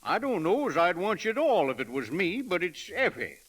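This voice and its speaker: An actor playing a blunt, friendly, henpecked husband from the American West in a radio comedy, drawling and informal. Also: male voice, high-pitched, sing-song, brisk, fairly bright tone, slightly rough, heavily acted. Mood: sheepish, folksy. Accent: American Western. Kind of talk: 1940s radio comedy acting. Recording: crackly old radio broadcast recording.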